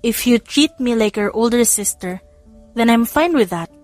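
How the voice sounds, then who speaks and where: A woman's voice: a little high, lively intonation, unhurried, darkish tone, no room sound, faint music behind. A woman voicing a kind, accepting young woman in an audiobook. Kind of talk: audiobook character dialogue.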